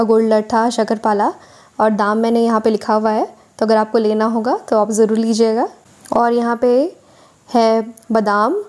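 Woman doing a market vlog voice-over, mellow, flat delivery, calls out prices. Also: fairly high pitch. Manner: listing goods and prices for viewers. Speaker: vlogger. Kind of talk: market tour voice-over narration.

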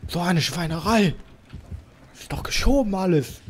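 A young man playing a co-op horror game, calmly talking his friend through it and teasing him. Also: high voice, a little hiss or hum. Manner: quick, calm, teasing, laughs at his friend. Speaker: gamer co-op player. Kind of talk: casual let's play chat.

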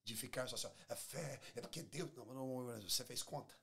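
A Brazilian pastor in his forties speaks as a studio guest, in a medium-pitched, somewhat husky male voice. He preaches conversationally and emphatically, repeating key phrases and voicing little dialogues. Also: fast talker, fairly bright tone. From Minas Gerais, Brazil. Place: small podcast studio. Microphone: close studio microphone on table stand.

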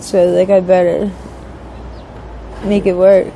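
A woman in her thirties answering interview questions candidly and casually, halting and filling her sentences with "like". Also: lowish voice, little pitch movement, vocal fry, dark tone, low-quality recording. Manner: hesitant, halting, peppered with "like". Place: outdoors on a city street by a brick wall. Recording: outdoor street noise, passing vehicles.

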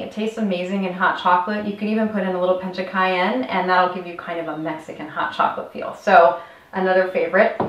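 A cheerful woman in her thirties walks the listener through a recipe, speaking straight to them like a coach. Her voice sits at an ordinary middle pitch and is picked up from a few steps away, so the kitchen's hard walls add a roomy echo. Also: fairly bright tone, distant mic. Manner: upbeat, instructional, addresses viewer directly. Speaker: health coach. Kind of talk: cooking demonstration. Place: home kitchen, indoors. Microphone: camera mic at a distance.

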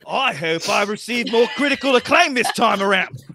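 A man acting a brash, indignant male bounty hunter in a comic table read, with a raspy, strained voice. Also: sits high, expressive, normal speed, bright, forceful tone, no echo, heavily acted. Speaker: actor in live script table read. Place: home room, video call. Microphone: microphone with pop filter, close.

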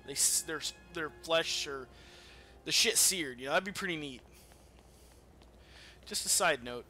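A man giving casual let's-play game commentary in an excitable, foul-mouthed manner. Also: fairly high pitch, measured pace, faint music behind. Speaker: gamer playing and commentating.

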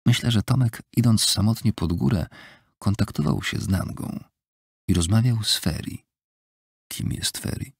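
A man reading an audiobook in a measured, even storytelling manner, recorded on a close studio mic. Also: no room sound. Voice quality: low gravelly husky voice. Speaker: audiobook narrator. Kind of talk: scripted audiobook narration. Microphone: close studio mic, dry.

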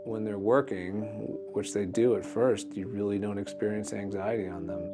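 A man's voice: on the low side, fairly even intonation, moderate pace, over loud music. A man in his forties speaking candidly and bluntly in a documentary interview. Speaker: climber in withdrawal.

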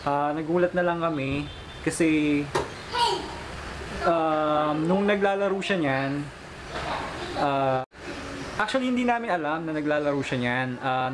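A man's voice: fairly high pitch, normal speed, noisy background. A man in his thirties with a Filipino accent, chatting casually as a father hosting a family vlog. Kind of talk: casual family vlog chat, mixing Tagalog and English. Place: small furnished living room. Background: background music.

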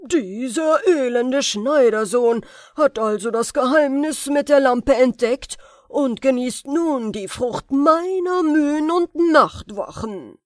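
A woman voicing a wicked, vengeful sorcerer in a fairy-tale audiobook, forceful and theatrical in delivery. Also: sits high, animated, slow, hardly any pauses, no room sound, clean studio sound, pitched above the performer's own voice. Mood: furious. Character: wicked vengeful sorcerer, scheming grown man.

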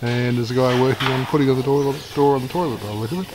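A man giving a casual, hesitant, unhurried walkaround commentary as a vlogger. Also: mid-range voice. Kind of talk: casual narrated site walkaround. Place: building site, outdoors and inside bare concrete rooms. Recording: loud construction site noise, rasping and knocking.

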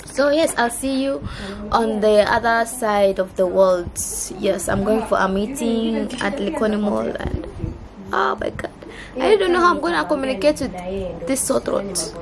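Hoarse young Kenyan woman vlogging. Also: fairly high pitch, expressive, unhurried, a crowd in the background. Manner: chatty, mixes English and Swahili. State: hoarse, losing her voice. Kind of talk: casual vlog talk to camera. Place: indoors. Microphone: camera mic held close.

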